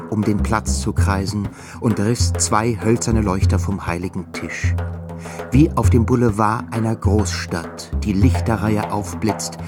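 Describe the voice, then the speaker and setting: A grave male narrator of a literary radio play. His lowish voice tells the story with intensity while music plays clearly behind him. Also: lightly acted.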